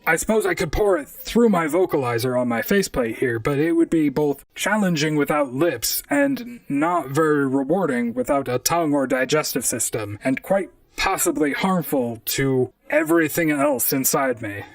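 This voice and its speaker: A man playing a wry, deadpan robot private detective in a noir audio drama, both narrating and talking to others. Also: on the high side, normal speed, gravelly, few pauses, dry recording, far from the mic, exaggerated voice, low-quality recording. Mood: matter-of-fact, wry. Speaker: narrator and lead character.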